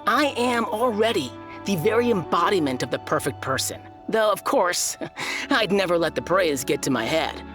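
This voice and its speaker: A woman's voice: mid-range voice, lightly acted. A woman voicing a smug, boastful tournament contestant in a video game who is sure of her own perfection. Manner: boastful, self-satisfied.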